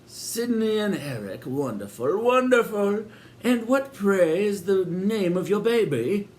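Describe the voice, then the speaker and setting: Man doing a sugary fake-nice old lady innkeeper, high, stretching her words. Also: big pitch swings, slow, exaggerated voice. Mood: gushing. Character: gushing old lady twin hotel keeper, falsely sweet.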